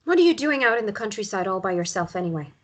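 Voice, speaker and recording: A woman's voice: mid-range voice, sing-song, fast talker. Harried countryside mum, played by a woman. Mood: guarded, softening. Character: harried, irritable countryside single mother, reluctantly hospitable. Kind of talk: drama table read.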